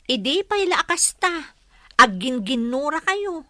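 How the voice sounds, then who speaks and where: A sensible young daughter, acted by a woman in a radio comedy drama. Her voice is very high and bright, recorded dry, as she questions and coaxes like a peacemaker. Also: sing-song, slow, dry recording, full character voice. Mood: coaxing, reproving.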